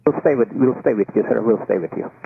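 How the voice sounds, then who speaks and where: A man, a pilot, giving halting, hesitant readbacks over aviation radio. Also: fairly low pitch, expressive, gravelly, a little hiss or hum. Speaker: airline pilot. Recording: tinny narrowband cockpit radio transmission.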